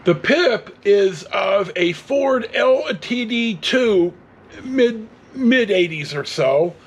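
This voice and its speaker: A man's voice: high-pitched, animated, slow, a little hiss or hum. A middle-aged American man tells rambling casual stories in a blunt, salty way. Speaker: storyteller vlogger.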